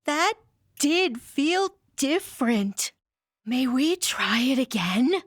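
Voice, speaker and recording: High-voiced naive, earnest young daughter. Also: female voice, big pitch swings, slow, no echo, studio quality, heavily acted. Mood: earnest, intrigued. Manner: innocent, literal-minded, formal phrasing. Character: naive, curious, sheltered scientist's daughter, earnest young woman. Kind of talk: old-time radio sci-fi parody acting.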